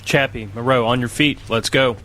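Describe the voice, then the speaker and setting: An actor playing a brisk, level-headed army major in an audio drama, giving clipped orders and questions. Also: male voice, mid-range voice, fairly even intonation, pushed and bright, slight background noise, natural voice. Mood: brisk, commanding.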